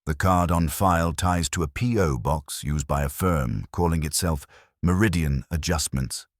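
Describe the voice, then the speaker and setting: A man's voice: extremely low pitch, normal speed, no echo, studio quality. A man voicing a wary, terse corporate security man who reports in a clipped, serious, factual manner. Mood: guarded, serious.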